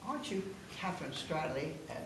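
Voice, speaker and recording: A woman's voice: deep voice, big pitch swings, a little fast. A 98-year-old woman telling stories casually among family in a giggly, playful manner. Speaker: guest of honor reminiscing. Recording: noisy, echoey room, distant mic.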